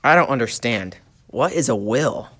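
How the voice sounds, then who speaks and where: A woman's voice: a bass voice, lively intonation, normal speed, a bit bright, heavily acted. A woman voicing an earnest, polite young boy in a children's puppet show. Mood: puzzled, shy.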